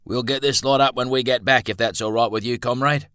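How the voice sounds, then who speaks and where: A man voicing a cheeky, brisk male political radical with a wry, casual manner, in an audiobook novel. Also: medium pitch, fairly even intonation, a bit bright, clear, resonant tone, no room sound, clean studio sound, pitched above the performer's own voice, close to an everyday voice.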